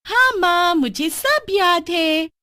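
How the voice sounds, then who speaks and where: Woman voicing a high, excitable young goat-witch girl. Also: slow pace, dry recording, clean studio sound, full character voice. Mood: cheerful. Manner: excitable, pleading, turning gleefully menacing. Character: young half-goat witch girl, eager daughter, secretly man-eating.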